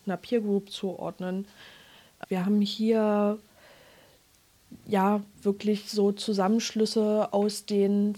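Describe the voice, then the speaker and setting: A woman youth club worker speaks in a measured, reflective way. Her voice is mellow and soft, and she often pauses to find the right phrase. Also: medium pitch. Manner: thoughtful, searching for words, measured. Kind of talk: interview clip, reflective explanation.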